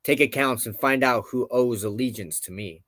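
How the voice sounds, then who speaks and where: A man voicing a noble victorious prince in a storybook tale, formal, measured and commanding. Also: mid-range voice, a bit bright, dry recording, lightly acted. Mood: firm, commanding. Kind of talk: storybook character dialogue in narration.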